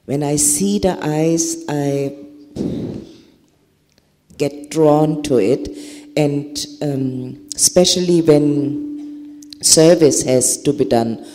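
A man sharing a spiritual experience on a panel, speaking earnestly with halting pauses. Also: a little high, dark tone, faint music behind. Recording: reverberant hall.

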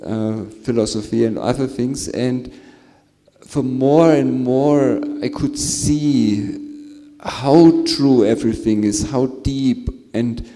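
A man sharing a spiritual experience on a panel, speaking slowly and searching for words with soft laughs. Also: medium pitch. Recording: reverberant hall.

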